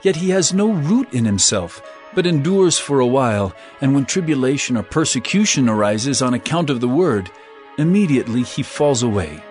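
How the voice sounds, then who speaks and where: An actor playing an earnest male teacher of parables in a dramatized scripture reading, with measured, emphatic, declamatory phrasing. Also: mid-range voice, animated, darkish tone, soft background music, close to an everyday voice. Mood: earnest, solemn.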